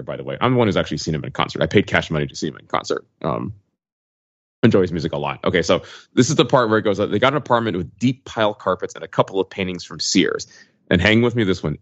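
A man talking casually as a podcast panelist, explaining his points in an analytical, halting way. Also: sits low, no echo, dull audio.

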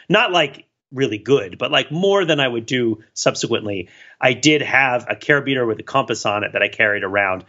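A man co-hosting a podcast in casual, rambling chat, talking in an animated, self-deprecating and digressive way. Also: medium pitch, lo-fi sound. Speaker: podcast co-host.

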